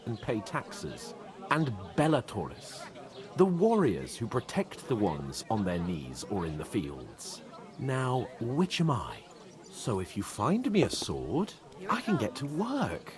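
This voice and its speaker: An actor playing a pompous, excitable young nobleman in a video game, theatrical and quick to indignation. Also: medium pitch. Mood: smug, lecturing. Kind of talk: dramatic video game dialogue.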